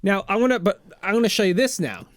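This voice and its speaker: A man with a slightly high voice rattles along quickly as a podcast co-host. His banter is casual and rambling, with sentences left unfinished. The recording is dry and free of echo. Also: animated.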